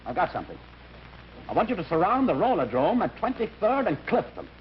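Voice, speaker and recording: A man's voice: high-pitched, huge pitch swings, brisk. A man playing a tough, determined detective who talks tersely in an old-time radio drama. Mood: grim, determined. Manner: terse, hard-boiled. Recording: noisy, muffled vintage radio recording.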